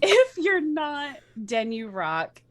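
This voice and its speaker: A woman playing a bratty, defiant teenage author, reading out notes in a halting, emphatic way. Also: high voice, very animated, full character voice.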